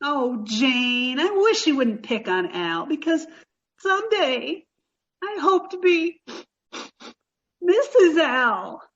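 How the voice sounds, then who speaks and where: An actress playing a sweet, naive, dim-witted young woman in an old-time radio sitcom style, earnest and slow on the uptake. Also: sits high, sing-song, a bit bright, muffled recording, full character voice. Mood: hurt, pleading.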